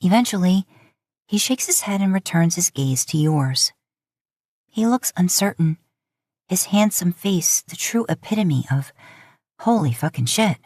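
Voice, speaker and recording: Woman reading an audiobook story, low pitched voice, really dry sound. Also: animated, measured pace, darkish tone, no echo. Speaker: audiobook narrator. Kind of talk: scripted fiction narration.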